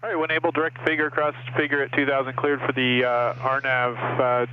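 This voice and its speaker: A man's voice: medium pitch, flat delivery, a little fast. A man working as an airline pilot, reading back instructions over aviation radio. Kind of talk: radio readbacks. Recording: tinny phone-like aviation radio, faint noise.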